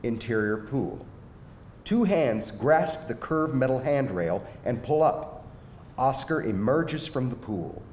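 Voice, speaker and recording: A man's voice: expressive, slow, a little mellow. A man narrating a screenplay's stage directions as scripted narration at a live table read. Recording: echoey live room, faint rumbling noise.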